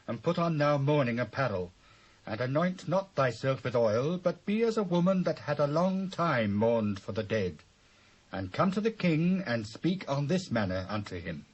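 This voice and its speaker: A British actor playing an urgent royal courtier in a dramatised Bible, speaking formal archaic English. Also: male voice, medium pitch, very animated, normal speed. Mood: urging, instructing. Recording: dull old cassette transfer.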